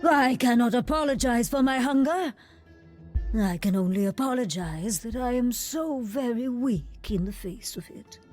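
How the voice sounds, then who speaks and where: Woman as high-voiced, guilt-ridden monster spy. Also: moderate pace, few pauses, dark tone, faint music behind, lightly acted. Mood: pleading, sorrowful. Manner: formal, archaic, dramatic phrasing, pleading. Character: cursed female monster spy, hungry for blood, guilt-ridden. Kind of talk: theatrical video game voice acting.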